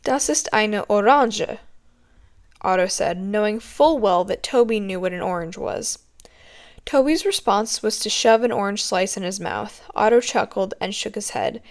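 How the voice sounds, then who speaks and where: A woman's voice: mid-range voice, moderate pace. Woman reading a story aloud. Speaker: amateur audiobook reader. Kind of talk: scripted story narration.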